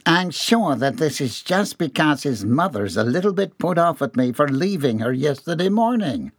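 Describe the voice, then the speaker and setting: A man puts on a clueless, whining employee for an audiobook, lifting his pitch well up. He sounds hesitant and confused, all feigned innocence, with lively swings in tone. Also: moderate pace, husky, no room sound, studio quality, full character voice. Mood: sheepish, defensive. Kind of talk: dramatised audiobook dialogue.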